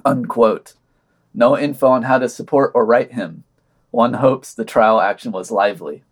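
A man's voice: mid-range voice, moderate pace. A man reading a scripted podcast news digest with wry commentary and dry, sardonic asides.

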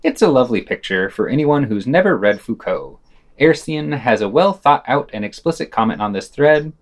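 A man's voice: medium pitch, animated, moderate pace, no room sound. A man reading scripted essays and news commentary as a podcast host.

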